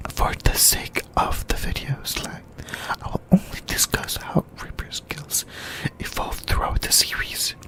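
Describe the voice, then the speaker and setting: An ASMR presenter speaks in a hushed, breathy whisper. The delivery is very slow and deliberate, broken into short, halting phrases as it explains things to listeners. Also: medium pitch, low-quality recording. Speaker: host of an anime discussion video.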